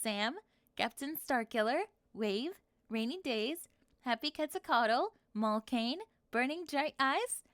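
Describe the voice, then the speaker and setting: A woman with a fairly high voice speaks as a channel host in a casual video outro. The sound is dry and close, with no room around it. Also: animated, slow pace, a bit bright, no echo. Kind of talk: casual video outro with supporter shout-outs.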